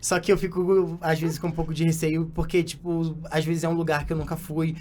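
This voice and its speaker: A man from Belém with a Paraense accent, a guest comedian chatting casually on a podcast, full of fillers and bursting into high laughs. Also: level tone, brisk, warm tone. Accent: Paraense Brazilian Portuguese. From Belém, Pará, Brazil.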